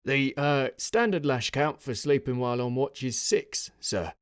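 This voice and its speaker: A man's voice: mid-range voice, animated, measured pace, smooth, dry recording, clean studio sound, pitched above the performer's own voice, lightly acted. A man voicing an old, slovenly male army sergeant in an audiobook, wheezy, nervous and servile, with a stammering, hesitant delivery. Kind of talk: dramatic audiobook character voice.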